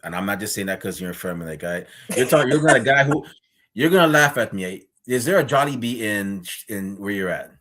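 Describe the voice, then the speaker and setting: A man from Guyana, hosting a casual interview and telling rambling, animated stories about meeting people. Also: fairly low pitch, brisk. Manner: rambling, animated storytelling with acted quotes.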